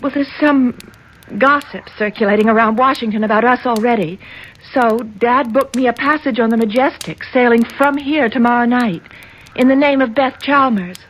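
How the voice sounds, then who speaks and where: A woman's voice: on the high side, moderate pace, close to an everyday voice, rough recording. An actress playing an anxious, ashamed young woman in a 1970s radio drama, hesitant and earnest. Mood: nervous pleading. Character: anxious, ashamed young senator's daughter, pleading. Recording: old radio broadcast, faint noise.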